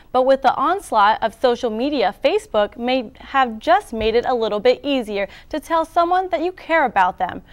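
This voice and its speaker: A young woman reading scripted news as anchor, handing off smoothly to reporters. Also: high-pitched. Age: young adult.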